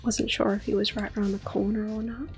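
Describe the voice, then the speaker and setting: A woman giving soft-spoken ASMR gameplay commentary as host, often slipping into a breathy whisper, in a gentle, unhurried way. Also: medium pitch, flat delivery. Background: soft video game music.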